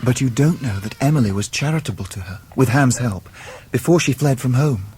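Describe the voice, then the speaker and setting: An actor playing an earnest, courteous young Victorian gentleman with an English accent in a period radio drama. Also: mid-range voice, expressive, brisk, husky. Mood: earnest urgency. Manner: polite, earnest, well-spoken.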